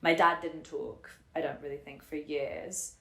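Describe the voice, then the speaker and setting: A woman in her twenties telling her personal story casually and reflectively, thinking aloud in halting, informal phrases. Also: deep voice, steady tone, vocal fry, fairly bright tone. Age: 20s. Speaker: interviewee telling her family and life story. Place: indoor room in Germany. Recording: roomy echo on the voice.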